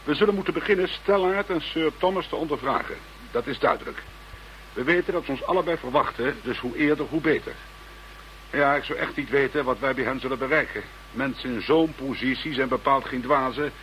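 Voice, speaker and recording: Lead investigator, played by a man: Dutch, down-to-business, relaxed manner, radio drama, slight background hiss. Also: medium pitch, fairly quick, lightly acted, low-quality recording. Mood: businesslike. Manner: informal, businesslike. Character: easygoing but businesslike Dutch investigator leading the case. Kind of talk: radio play acting.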